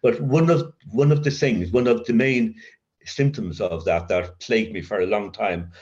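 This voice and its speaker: An Irish man sharing his recovery story, halting, with stammer blocks and many restarts. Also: fairly low pitch, a little mellow, muffled recording. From Ireland. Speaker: speaker at a recovery meeting. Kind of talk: personal recovery share.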